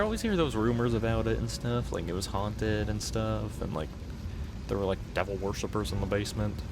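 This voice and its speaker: A young man playing a playful, chatty boyfriend on a night drive in a soft-spoken, rambling, gently teasing ASMR roleplay. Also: fairly low pitch. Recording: music under the voice.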